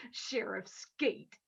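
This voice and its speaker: A woman playing a cocky, burly male bank robber from the Wild West in a radio play, with hammy, melodramatic delivery. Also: lo-fi sound.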